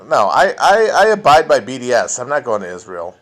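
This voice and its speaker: A man's voice: medium pitch, big pitch swings, fairly quick, a bit bright. A man rambling and swearing as he chats alone to a livestream, full of restarts and trailing asides. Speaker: podcast host answering chat. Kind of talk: casual livestream chat. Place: home, alone at a phone or computer.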